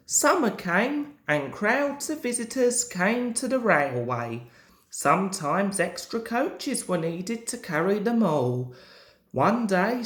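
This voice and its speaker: A man's voice: high-pitched, fairly bright tone. A young man reading a children's story aloud as a narrator. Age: young adult. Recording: roomy, echoing home recording.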